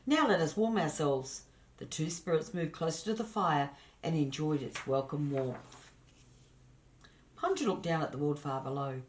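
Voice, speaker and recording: Low-voiced woman reading a children's story. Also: lively intonation, normal speed, darkish tone, slight background noise. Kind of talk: scripted children's story narration.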